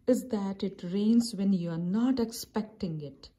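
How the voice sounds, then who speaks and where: A woman's voice: medium pitch, unhurried, warm tone, husky. A woman voicing a grumbling, reluctant male rabbit in a children's storybook, with a complaining manner. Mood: cranky.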